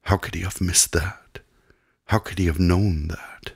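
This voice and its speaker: Deep, husky male audiobook narrator. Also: steady tone, unhurried, no room sound, clean studio sound. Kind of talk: scripted fiction narration.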